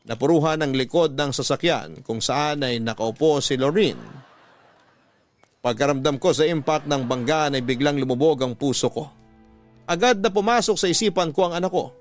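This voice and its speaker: A man's voice: fairly high pitch, moderate pace, faint music behind. A man reading a scripted first-person story in a sentimental, radio drama storytelling style. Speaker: letter narrator in a radio-style love story podcast.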